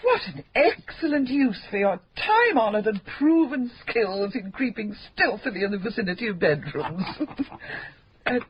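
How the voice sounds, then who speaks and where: Actress as a worldly older woman, arch, genteel, teasing, lively swooping voice, dull old broadcast, faint hiss. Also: medium pitch, normal speed, close to an everyday voice. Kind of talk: radio drama acting.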